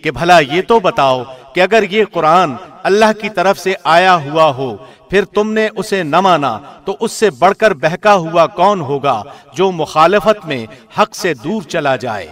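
Male translation reader, slightly high pitch, formal radio diction, measured scripted scripture narration. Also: animated, fairly bright tone.

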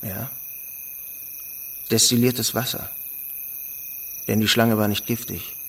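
A man's voice: on the low side, level tone, slow, creaky, fairly bright tone, a little hiss or hum, full character voice. An actor playing a grave man in his mid-thirties who reports in a terse, matter-of-fact way in a radio drama.

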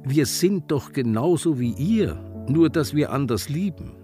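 An actor in a radio drama playing an earnest activist giving an emphatic, declamatory speech. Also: male voice, on the high side, lively intonation, a little slow, mellow. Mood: earnest, resolute. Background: music.

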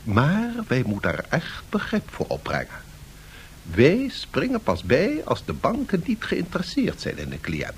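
Satirical radio play: officious, patronising diplomat, very animated intonation, faint noise behind. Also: male voice, medium pitch. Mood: evasive, placating. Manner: measured, officious, placating. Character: evasive, patronising male League of Nations bureaucrat. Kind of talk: satirical radio drama acting.

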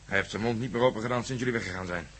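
An actor playing a businesslike police inspector in a radio play, speaking with authority. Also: male voice, on the low side, rapid-fire, close to an everyday voice. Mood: measured. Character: male police inspector, businesslike, orderly, in command. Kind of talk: radio drama acting. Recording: faintly noisy radio play recording.

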